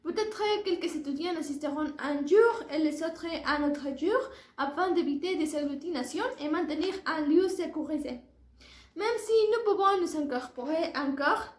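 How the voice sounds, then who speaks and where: High-voiced young Mexican woman, echoey room. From Puebla, Mexico. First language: Spanish. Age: early 20s. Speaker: language student. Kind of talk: prepared oral presentation for a French class.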